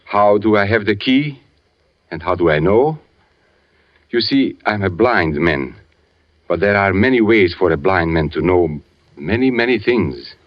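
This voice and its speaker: An actor playing a gentle, brooding blind composer who speaks deliberately with haunting pauses in 1940s radio drama style. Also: male voice, sits low, animated. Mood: knowing, probing. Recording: muffled old radio recording with music.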